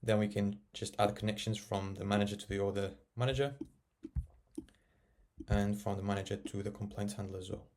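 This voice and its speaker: A man narrating a casual software tutorial, explaining and thinking aloud as he works. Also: fairly low pitch, level tone, brisk, background noise. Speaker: tech tutorial presenter.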